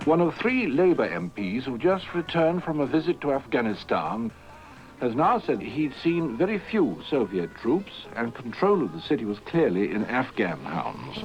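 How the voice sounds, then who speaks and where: A man's voice: mid-range voice, sing-song, moderate pace, over music. A man reading the news in a formal broadcast manner. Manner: formal newsreading. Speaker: newsreader. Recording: dull lo-fi archive radio tape.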